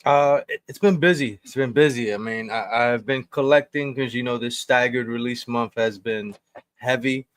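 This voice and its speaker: Laid back guy on a video call chatting, middle pitch voice, laughs a lot, dry sound. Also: normal speed, no room sound. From New York state. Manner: laid-back, laughs easily, repeats phrases. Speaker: guest. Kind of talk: casual interview chat.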